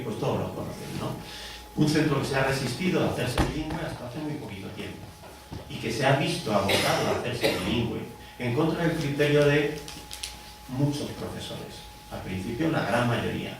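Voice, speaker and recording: Echoey, distant Spanish man giving a talk. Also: medium pitch. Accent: central Spanish. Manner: colloquial, explanatory, with rhetorical questions and check-in tags. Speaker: teacher and union delegate. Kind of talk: public talk at a community meeting. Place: indoor hall at a public event. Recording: very echoey hall, distant mic, noisy.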